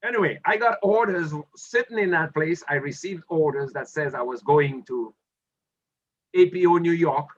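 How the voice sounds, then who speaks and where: A man's voice: fairly high pitch, lo-fi sound. An older man from Dominica with a Dominican accent, telling stories from his army career in an interview and acting out the dialogue. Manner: animated storyteller who acts out quoted exchanges. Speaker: interview guest, retired army officer. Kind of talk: storytelling interview.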